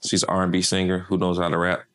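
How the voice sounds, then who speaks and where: Deep-voiced memphis dude, chill and rambling, lots of uh and um. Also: male voice, monotone, fast talker, a bit bright, clear, resonant tone. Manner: laid-back, slangy, rambling with fillers. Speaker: artist manager and DJ. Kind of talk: casual interview chat.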